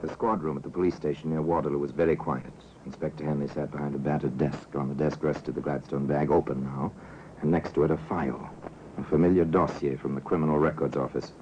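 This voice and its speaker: An actor playing a grave, measured narrator of crime tales in an old radio drama, on a hissy, muffled recording. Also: male voice, a bass voice, fairly even intonation, dark tone, soft background music.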